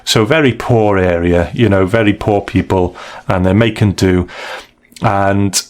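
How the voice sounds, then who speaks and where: A man co-hosting a casual podcast discussion, analysing stories in a measured, thoughtful, hedging way. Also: on the low side, darkish tone. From outside the United States. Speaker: podcast co-host.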